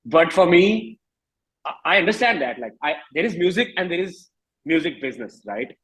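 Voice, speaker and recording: A man with an Indian accent talking candidly as an interview guest, emphatic but halting, often repeating phrases, in a husky voice. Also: on the high side, expressive, a bit bright, low-quality recording. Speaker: musician guest.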